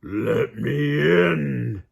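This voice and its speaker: A man's voice: mid-range voice, expressive, very slow, vocal fry, voice pushed up, full character voice. A man voicing a hungry, menacing storybook wolf who draws out his threats in a gleeful sing-song. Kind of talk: children's storybook read-aloud.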